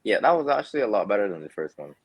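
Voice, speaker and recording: Laid-back guy guesting on a stream, lowish voice, casual easy chat over a call. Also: big pitch swings, rapid-fire, breathy edge. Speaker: guest videographer.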